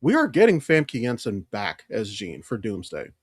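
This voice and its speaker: An American man co-hosting a podcast in casual chat, rambling and halting as he thinks aloud. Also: on the low side, wildly swooping pitch, slightly rough, dry recording, studio quality. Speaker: podcast co-host. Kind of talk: casual chat about comics and films.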